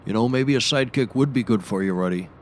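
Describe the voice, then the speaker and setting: An actor playing a gruff, deadpan outdoorsman and old sea hand in a scripted comedy audio show, with a dry storytelling manner. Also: medium pitch, fast, slight background noise, lightly acted. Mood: dry, expansive.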